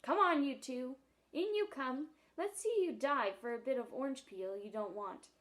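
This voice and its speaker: A woman voicing a small male seal in a storybook reading, bitter and angry, with a loud, bossy, taunting delivery. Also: high voice, slow pace.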